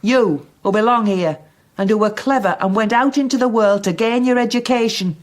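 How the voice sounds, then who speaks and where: An actress playing a kindly, resolute older woman in radio drama, measured and reassuring, with a gravelly voice. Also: a little high, lightly acted. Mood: earnest, encouraging. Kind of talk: BBC radio drama acting.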